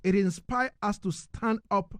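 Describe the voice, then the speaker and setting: A man's voice: high-pitched, measured pace, fairly bright tone, no echo, studio quality. A man hosting a live radio interview in an emphatic manner, often checking that he is understood. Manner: emphatic, repeats "you know what I mean". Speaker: radio host. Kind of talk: live radio interview, reading listener messages.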